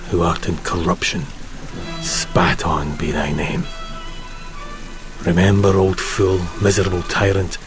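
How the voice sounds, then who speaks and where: Slow, deep, bitter ship's officer. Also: male voice, rough recording. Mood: bitter, brooding. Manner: slow, deliberate, brooding monologue with sardonic bite. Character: bitter, educated Romanian ship's officer, brooding and scornful. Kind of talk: gothic horror audio drama monologue. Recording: rain and thunder under eerie music.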